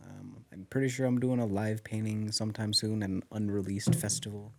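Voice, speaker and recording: Easygoing young man, low voice. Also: flat delivery, a little fast, gravelly, noisy background. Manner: easygoing, lots of "like" fillers. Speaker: podcast guest, painter. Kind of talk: casual chat.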